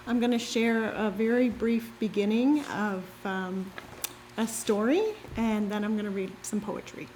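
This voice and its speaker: Woman, amateur author presenting her own writing aloud; medium pitch. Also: fairly even intonation, a little slow, darkish tone, a little hiss or hum, poor audio. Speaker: amateur writer reading at an open mic. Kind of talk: reading own short story aloud to a group.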